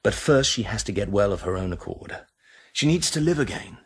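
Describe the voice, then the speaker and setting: A man's voice: on the low side, animated, a little fast, breathy edge. A man with a British accent acting in a radio drama as a cool, terse secret agent, clipped and understated. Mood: guarded concern.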